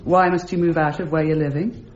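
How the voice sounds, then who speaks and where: A woman's voice: sits low, steady tone, a little fast, a little mellow, lo-fi sound, faint music behind, close to an everyday voice. An actress playing a prim, composed married landlady, speaking articulately and insistently in a radio drama. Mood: probing, insistent. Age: 30s. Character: prim, probing married landlady in her thirties.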